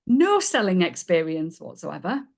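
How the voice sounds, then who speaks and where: A woman with a Yorkshire accent tells her story as a podcast guest. Her voice sits a little low, and she talks in a chatty, self-deprecating way, laughing at herself now and then. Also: huge pitch swings, a little slow, vocal fry. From Yorkshire, England. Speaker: podcast guest, business coach. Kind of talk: casual interview storytelling.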